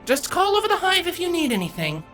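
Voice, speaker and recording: Man putting on a high-voiced motherly pony queen. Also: huge pitch swings, fast, soft background music, full character voice. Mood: reassuring. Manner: motherly, gently prodding. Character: caring, gently teasing mother pony queen.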